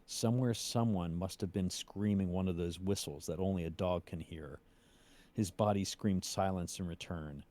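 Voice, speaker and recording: A man reading aloud in a measured, suspenseful storytelling style. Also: sits low, mellow. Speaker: cast member reading a story aloud on a stream. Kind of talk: dramatic story reading, then casual chat.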